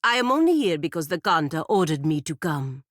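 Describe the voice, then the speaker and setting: Stern patriotic warrior, low female voice, formal, earnest, measured, very dry recording, fantasy game. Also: big pitch swings, no room sound. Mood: formal, reserved. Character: proud, stern, devoted patriot warrior woman of a fantasy republic. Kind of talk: scripted video game character dialogue.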